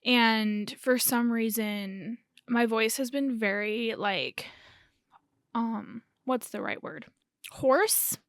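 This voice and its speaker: A woman in her early twenties, hoarse and raspy from a lingering cold, talking in a rambling, self-correcting way on her podcast. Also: a little high, slow pace, no echo, studio quality. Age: early 20s. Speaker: podcast host. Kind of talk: casual solo chat.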